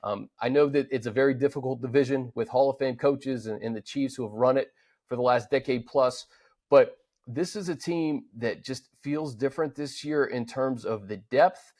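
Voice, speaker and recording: A man's voice: mid-range voice, normal speed, a little mellow. A man co-hosting a sports show, talking in a casual, informal way as he gives commentary.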